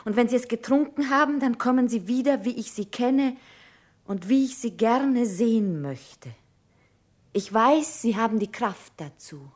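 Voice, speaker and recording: An actress in a German radio play playing a sorrowful, composed nurse with a hushed, restrained delivery. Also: female voice, a little high, animated, unhurried. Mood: troubled, firm. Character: sorrowful, composed female nurse, quietly resolute, married.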